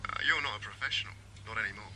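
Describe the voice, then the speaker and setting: A man's voice: on the low side, rapid-fire, bright, forceful tone, low-quality recording. An actor playing a curt, dismissive male police detective in a radio drama. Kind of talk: 1980s radio drama acting.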